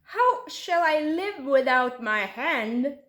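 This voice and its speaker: Woman as greedy rich older man, Uzbek accent, boastful, unhurried, storybook tale, echoey room. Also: sits high, sing-song, fairly bright tone, full character voice. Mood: despairing. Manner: slow, deliberate boasting. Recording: roomy, echoey sound.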